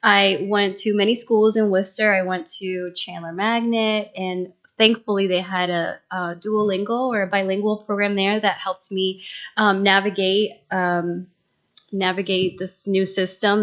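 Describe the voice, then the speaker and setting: A woman's voice: medium pitch, fairly bright tone. Woman guest, native Spanish speaker, chatting casually. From Dominican Republic and northeastern United States. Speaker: interview guest. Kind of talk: casual conversational interview.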